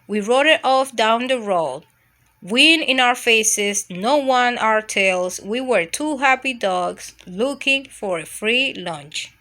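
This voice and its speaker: A woman's voice: fairly high pitch, lively intonation, slow pace, pushed and bright, heavily acted. A woman voicing a mischievous, adventure-hungry dog who tells its own storybook escapade. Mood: cheeky, excited. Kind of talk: storybook first-person narration.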